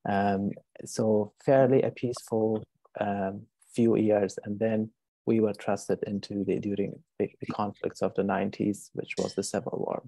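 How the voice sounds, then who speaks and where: A man, an author presenting as a guest over a video call, gentle and hesitant with many fillers. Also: fairly low pitch, level tone, measured pace, creaky, dry recording, rough recording. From Afghanistan. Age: 30s.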